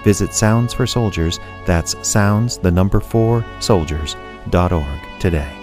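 A man's voice: sits low, slow pace. A man reading a scripted radio charity appeal with guitar music behind him. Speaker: audio drama producer making a charity appeal.

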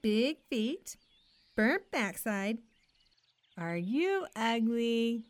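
Slow, sassy hen. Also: female voice, on the high side, very animated, exaggerated voice. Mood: sassy, teasing. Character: sassy, flirty, self-assured female farm hen. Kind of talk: audio drama acting.

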